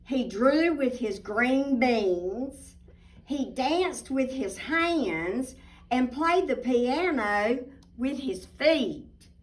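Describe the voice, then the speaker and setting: A woman reading a picture book aloud expressively, deliberate and emphatic, with little asides to young listeners. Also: high voice, expressive. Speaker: children's storybook reader, teacher. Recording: distant microphone.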